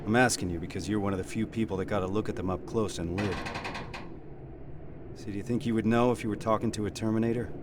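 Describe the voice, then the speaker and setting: An actor playing a stern, dutiful resistance squad sergeant with a commanding military manner in a video game. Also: male voice, fairly low pitch, steady tone, fast talker, background noise, lightly acted. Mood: grave, probing. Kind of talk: dramatic cutscene acting. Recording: game music behind the voice.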